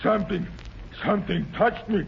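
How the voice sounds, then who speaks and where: An actor playing a terrified, superstitious servant who stammers and pleads, in 1930s radio drama style. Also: male voice, high voice, normal speed, gravelly. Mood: frightened pleading. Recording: dull, hissy 1930s radio recording.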